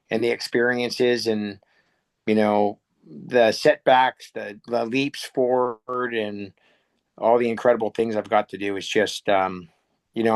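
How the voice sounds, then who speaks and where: A middle-aged American man talking casually and reflectively as an interview guest, plain-spoken and often searching for words. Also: fairly low pitch. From United States. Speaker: interview guest, air show pilot.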